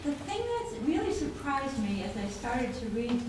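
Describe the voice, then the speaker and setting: A woman's voice: on the high side, very animated, normal speed. American woman asking from the floor, distant and echoey. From United States. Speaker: audience member. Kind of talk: question from the floor. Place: seminar room with audience. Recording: distant, very echoey, noisy room.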